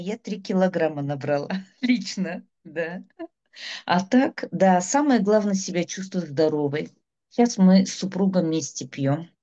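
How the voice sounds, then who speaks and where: A woman's voice: mid-range voice, mellow. A middle-aged woman, giving a chatty, rambling personal testimonial about her health. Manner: chatty, rambling, listing ailments. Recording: dull lo-fi sound.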